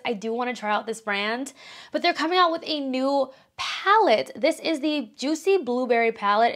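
A young woman talks through new makeup launches with bubbly, chatty enthusiasm, her voice a touch strained and sitting on the higher side. She speaks straight to camera from a small home studio. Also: a bit bright. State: slightly strained voice after screaming. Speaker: beauty vlogger. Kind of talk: casual makeup review to camera.

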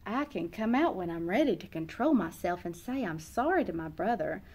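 A woman's voice: lowish voice, animated, normal speed, a little mellow, breathy edge. A woman in her thirties reading a children's picture book aloud, expressively acting out the feelings of the young narrator. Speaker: read-aloud host, storyteller. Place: small indoor classroom or reading room.

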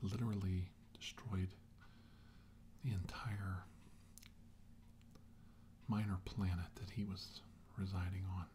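A middle-aged man playing a weary, shaken doctor in a halting, brooding ASMR monologue that often drops toward a whisper. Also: low-pitched, fairly even intonation, mellow, slight background noise. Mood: somber, brooding. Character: weary, shaken male doctor recounting a battle with aliens. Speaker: performer of ASMR roleplay. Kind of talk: slow ASMR roleplay monologue, soft and partly whispered.

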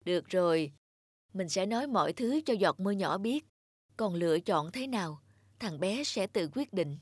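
A woman voicing a loving, wise cartoon mother raindrop in a soft, reassuring manner. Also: mid-range voice, lively intonation, normal speed, slightly rough, no echo, clean studio sound, voice pushed down. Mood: worried, thoughtful.

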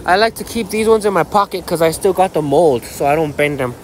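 High-pitched American man rambling outdoors. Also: expressive, brisk. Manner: slangy, profane, boastful, with repeated catchphrases. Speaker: vlogger. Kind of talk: casual rambling phone vlog. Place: outdoors on a dirt path by a canal. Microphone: phone held at arm's length. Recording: outdoor ambient noise on phone.

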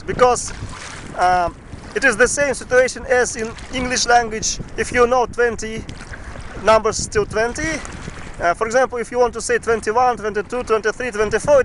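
A man's voice: very high voice, fairly bright tone, a little hiss or hum, rough recording. A man, teaching a casual outdoor language lesson on a boat, patiently repeating words. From Bashkortostan. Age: 30s. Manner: patient, repetitive, drills words twice. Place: outdoors on a boat on a wide river. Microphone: camera mic, selfie framing at arm's length.

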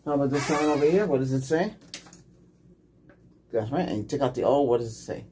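A man's voice: mid-range voice, expressive, a little fast, background noise. A middle-aged man in an ASMR medical exam roleplay, playing a doctor who gives short, reassuring questions and instructions. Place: small indoor room set up as a clinic.

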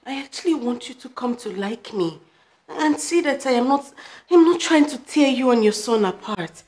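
A young girlfriend with a high, very lively voice. She is determined and pleading in a naturalistic drama, stressing her words and stumbling over them when upset. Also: normal speed, rough recording. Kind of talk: naturalistic screen drama dialogue.

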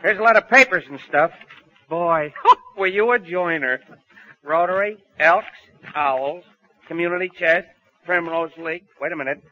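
An actor playing a slangy, wisecracking small-time crook and loyal sidekick in 1940s radio comedy style. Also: male voice, fairly high pitch, normal speed, natural voice. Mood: greedy, eager. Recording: muffled vintage 1940s radio recording.

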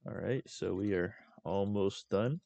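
A young man hosting a casual screen-recorded software tutorial as a voice-over. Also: fairly low pitch, measured pace, creaky, no echo. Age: young adult. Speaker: tutorial host.

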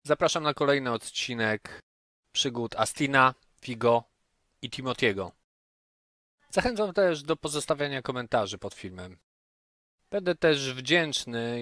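A man's voice: mid-range voice, fairly bright tone, no room sound, clean studio sound. A man speaking as host and game master of a tabletop roleplaying game.

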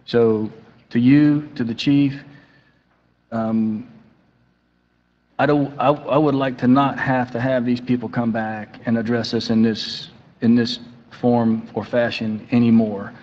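A man acting as mayor, firmly telling the council this has to stop, with halting restarts. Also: medium pitch, little pitch movement. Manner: firm, exasperated, halting. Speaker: mayor chairing meeting. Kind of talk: chairing a council meeting. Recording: dull meeting audio with long hall reverb.

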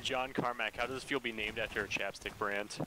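Quick-talking gamer man, teasing banter, gunfire and game noise loud behind him. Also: medium pitch, steady tone. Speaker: online game player. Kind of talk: casual voice chat while gaming.

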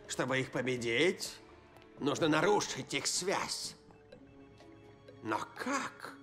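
An actor voicing a scheming, gleeful old villain scientist in a dubbed cartoon, with theatrical delivery. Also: male voice, fairly high pitch, fairly bright tone, full character voice. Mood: plotting. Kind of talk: Russian cartoon dub villain.

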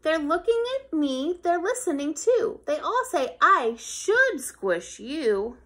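A woman's voice: high-pitched, big pitch swings, slow, fairly bright tone, slight background noise, full character voice. A woman voicing a big, bossy boy in a rhyming storybook, boastful and sing-song. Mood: self-justifying. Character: big bossy boy taunting a tiny ant.

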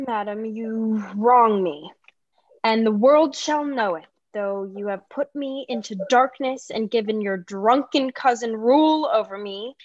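Young woman acting a high-voiced maid reading aloud. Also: no echo. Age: young adult. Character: maid reading an aggrieved letter aloud in Shakespeare comedy. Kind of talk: live table read of Shakespearean drama. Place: small indoor room, video call. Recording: dull video-call audio.

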